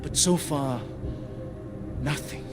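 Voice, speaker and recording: An actor playing a principled, embattled pacifist leader in a video game cutscene, speaking with solemn, formal eloquence. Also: male voice, medium pitch, slow pace, natural voice. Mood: earnest, troubled. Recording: loud music behind the voice.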